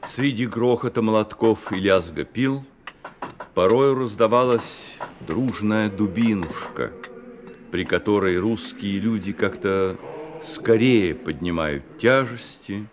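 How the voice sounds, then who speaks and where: A man narrating a literary story for a Soviet-era radio play, measured and expressive, with deliberate pauses. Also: fairly low pitch, slight background noise. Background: music.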